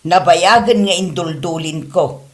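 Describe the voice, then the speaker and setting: A woman's voice: lowish voice, a little slow, lightly acted. A woman acting as a doting but meddling, thrifty mother of a grown son in a comedy drama.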